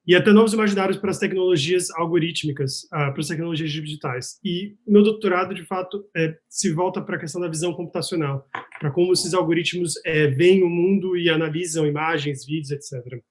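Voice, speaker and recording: A man's voice: a little high, fast. A man with a Bahian Brazilian accent speaking earnestly on an online panel, hesitating with fillers, over a video call. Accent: Bahian Brazilian Portuguese. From Salvador, Bahia, Brazil. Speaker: researcher, panelist. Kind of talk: casual online panel talk.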